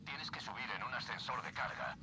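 A man's voice: medium pitch, very animated, brisk, noisy background, lightly acted. An actor playing a businesslike man giving instructions in a science-fiction game.

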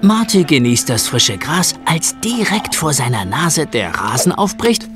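Male narrator for a kids audio story, lively mid voice, music under. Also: on the high side, unhurried. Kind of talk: scripted storybook narration for children's audio play.

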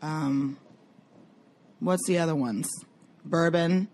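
A woman's voice: deep voice, takes it slowly, darkish tone. A woman co-hosting a podcast in casual, playful debate, hesitant and teasing. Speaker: podcast co-host.

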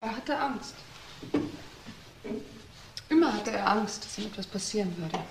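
A woman's voice: mid-range voice, lively intonation, unhurried, gravelly, heavy background noise. A woman playing a cool, bitter divorced wife in a crime radio drama, composed, detached and curt. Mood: cool, inquisitive.

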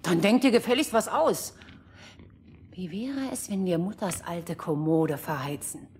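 An actress playing a spoiled, cruel young princess in a fairy-tale radio play, bossy and wheedling. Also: female voice, fairly low pitch, measured pace, darkish tone, a little hiss or hum, close to an everyday voice. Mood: demanding.

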